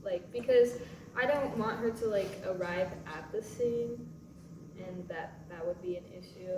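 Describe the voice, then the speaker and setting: A woman's voice: mid-range voice, measured pace. A woman actor chatting casually at a post-show question session. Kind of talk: casual chat. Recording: echoey room, distant, noisy.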